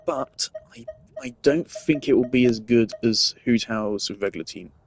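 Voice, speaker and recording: A man's voice: mid-range voice, a little slow, creaky. A man giving casual, analytical gaming commentary, thinking aloud in a rambling way as a streamer.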